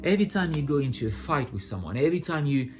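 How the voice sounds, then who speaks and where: A man's voice: fairly high pitch, big pitch swings, quick pace, faint music behind. A man talking straight to his audience in an unscripted personal monologue, blunt and profane, building to impassioned outbursts. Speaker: vlogger sharing personal insights.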